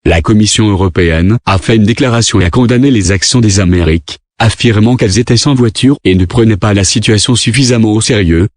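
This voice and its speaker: A man's voice: fairly low pitch, no echo, clean studio sound. A man reading a scripted government emergency broadcast in a formal, impersonal way as an announcer.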